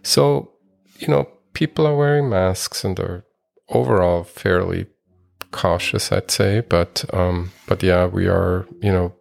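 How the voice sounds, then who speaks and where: A man's voice: low voice, unhurried, creaky. A man from Switzerland hosting a podcast interview, chatting casually with lots of fillers and restarts. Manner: friendly backchanneling, frequent laughs and fillers. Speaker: podcast host.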